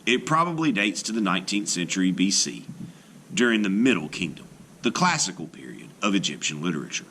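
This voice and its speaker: Male teacher-narrator, animated crisp bright read, measured storytelling for children. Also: low-pitched, a little hiss or hum. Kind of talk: scripted read-aloud narration.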